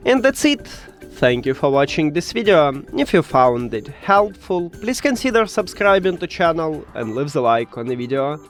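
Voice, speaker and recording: Man, non-native English speaker, tutorial narration, somewhat high pitch, very varied intonation, hesitant phrasing. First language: not English. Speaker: tech tutorial presenter.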